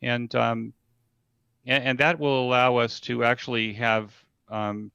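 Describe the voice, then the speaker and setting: A man casually explaining and demonstrating something as an interview guest on a video call, in a halting way with repeated phrases. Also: mid-range voice, level tone, vocal fry, sharp tone, smooth, no room sound. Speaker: event organizer guest demonstrating a platform. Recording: slightly dull, band-limited audio.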